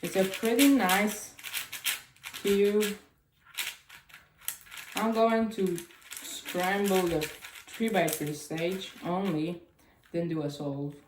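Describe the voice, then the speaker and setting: A woman whose first language is Chinese, chatting casually and haltingly through a cube unboxing with small grammar slips. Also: medium pitch. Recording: echoey room with clicking noise.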